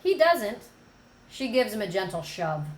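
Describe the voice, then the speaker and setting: A woman's voice: medium pitch, sing-song, measured pace. A woman reading stage directions as the narrator of a screenplay table read. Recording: echoey room, background noise.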